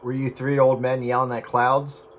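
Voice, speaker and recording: A man's voice: medium pitch, level tone, normal speed. A man chatting casually over a two-way radio. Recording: very noisy radio transmission, faint.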